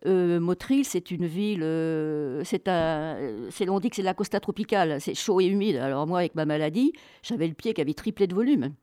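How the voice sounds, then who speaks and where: Expressive, lower-voiced woman telling travel stories. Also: normal speed, hardly any pauses, clean tone, no echo, clean studio sound. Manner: chatty, colloquial, expressive, acts out dialogue. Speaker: interview guest, author. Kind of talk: animated travel storytelling.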